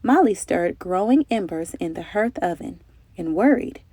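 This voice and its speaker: A woman's voice: lowish voice, animated, normal speed, warm tone, no room sound. A woman reading a children's story aloud in a dramatic, carefully phrased narrating style with emphatic delivery. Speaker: storybook reader.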